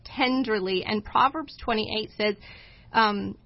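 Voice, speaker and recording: A woman co-hosting a talk show, speaking conversationally and inspirationally, with deliberate, emphatic phrasing and pauses. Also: medium pitch. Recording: dull, lo-fi audio.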